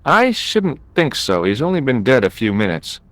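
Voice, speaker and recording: An actor playing an earnest, wry young American amateur sleuth in an adventure game, speaking with an American accent. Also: male voice, medium pitch, big pitch swings, moderate pace, a bit bright. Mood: calm, inquiring. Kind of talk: adventure game dialogue and narration.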